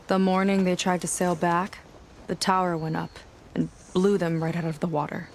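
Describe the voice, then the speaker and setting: A woman's voice: on the low side, level tone, moderate pace, slight background noise, close to an everyday voice. An actress playing an earnest, guarded young seafaring woman in a video game cutscene, speaking conversationally. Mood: somber, resolute.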